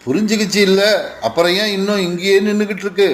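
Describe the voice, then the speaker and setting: A man's voice: sits high, steady tone, fast talker, a little hiss or hum, close to an everyday voice. A man voicing a bullying, scornful male crow in a children's cartoon, with a taunting, bossy manner. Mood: threatening.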